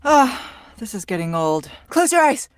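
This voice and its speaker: A woman's voice: a little high, sing-song, measured pace, dry recording. An actress voicing a cool, sardonic female secret agent in a video game, with clipped, dry quips. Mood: cool, teasing.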